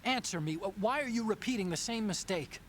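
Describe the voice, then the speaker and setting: An anxious young male engineer from a video game. He hesitates and stammers often. His pitch swings widely as he talks. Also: lively intonation, brisk, a little hiss or hum.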